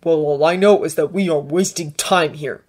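A woman's voice: deep voice, normal speed, a little mellow. A woman voicing an angry, stubborn teenage boy in a story reading, curt and combative. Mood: defiant. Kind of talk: dramatized fiction reading.

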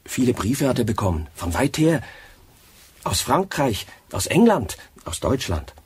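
An actor playing a timid, odd, downtrodden young man in a radio drama, speaking in a halting, plaintive way. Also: medium pitch, very animated, darkish tone, husky, exaggerated voice. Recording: noisy radio drama recording.

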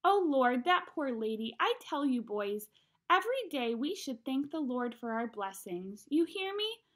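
A woman's voice: high voice, sing-song, measured pace, full character voice. Woman reading as a stern, emphatic babysitter. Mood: scolding. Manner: scolding, emphatic. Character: stern, pious female babysitter scolding boys.

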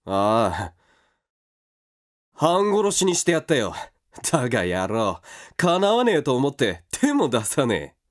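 A man playing a rough, hot-tempered older brother with coarse, gruff speech in a radio drama. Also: medium pitch, huge pitch swings, unhurried, pauses often. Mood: sullen, defiant. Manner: coarse, gruff slangy talk.

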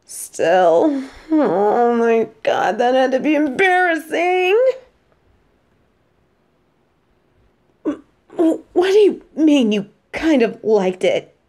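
Woman acting a slow-talking, expressive female supervillain. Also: high-pitched, full character voice. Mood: embarrassed, flustered. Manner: casual, halting, expressive. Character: teasing, flustered female supervillain, casual and affectionate. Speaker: voice actress in an audio roleplay. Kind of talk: intimate scripted audio drama addressed to a listener.

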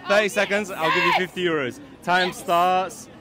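A man's voice: high voice, fairly even intonation. Young male street host, upbeat challenge patter, handheld mic, noisy crowd. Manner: upbeat, brisk, cheering on. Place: busy city street outdoors. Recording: loud street crowd around.

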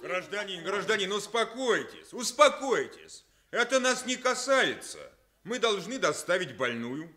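An officious male hospital orderly in a Soviet radio drama. His voice is bright and very animated, his wording brusque and bureaucratic. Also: high voice, a little slow, heavily acted. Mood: placating, flustered. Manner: colloquial, bureaucratic. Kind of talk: Soviet radio theatre acting.

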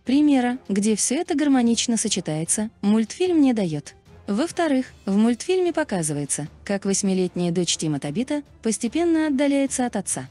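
A woman narrating a scripted video in a measured, explanatory manner. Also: a little high, sing-song, mellow, soft background music.

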